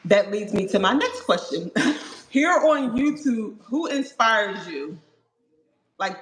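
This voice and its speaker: A woman's voice: fairly high pitch, sing-song, slow, fairly bright tone. Agreeable woman hosting a live stream. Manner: agreeable, repeats "I agree", asks viewers questions. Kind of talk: casual live-stream interview. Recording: echoey room.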